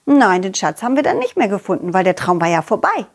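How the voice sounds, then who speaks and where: A woman voicing a confident, loyal, cheerful dog who tells stories to children in an excitable, childlike way, as in an audio play. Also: mid-range voice, fast, slightly rough. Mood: cheerful, excited. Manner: excitable, childlike, speaking directly to child listeners. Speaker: puppeteer and storyteller.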